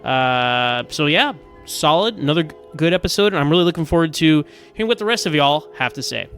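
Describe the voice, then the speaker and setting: A man's voice: on the high side, expressive, fairly quick, a bit bright, soft background music. A man giving casual, rambling episode commentary as a podcast host, often stammering over repeated words.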